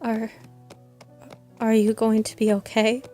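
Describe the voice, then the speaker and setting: A woman's voice: a little high, level tone, slow pace, dark tone. A woman voicing a worried, kind young female unicorn pony in an amateur fan fiction audio drama. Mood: nervous concern. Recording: roomy echo with reverb, music behind.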